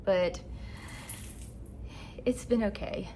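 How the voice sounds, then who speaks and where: A woman's voice: mid-range voice, very slow, warm tone, slight background noise, low-quality recording. Easygoing woman in her late twenties. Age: 20s. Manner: easygoing, laughs often. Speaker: podcast co-host. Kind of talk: casual chat.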